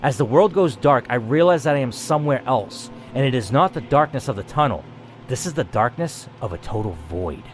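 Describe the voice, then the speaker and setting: A man's voice: medium pitch, animated, a little fast. Man dramatically narrating over noisy ambience. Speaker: game player reading aloud. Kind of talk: dramatic first-person story narration.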